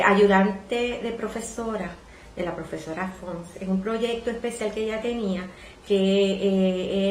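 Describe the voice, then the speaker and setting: A Puerto Rican woman in her sixties recalls her career as a librarian in a polite, measured, reflective way during an oral history interview. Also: medium pitch, a little hiss or hum. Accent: Puerto Rican Spanish. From Puerto Rico. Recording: echoey room.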